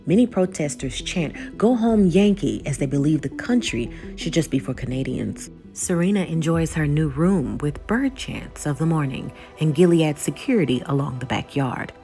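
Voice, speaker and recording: A woman's voice: low voice, warm tone. A woman narrating a scripted episode recap as the host of a video channel.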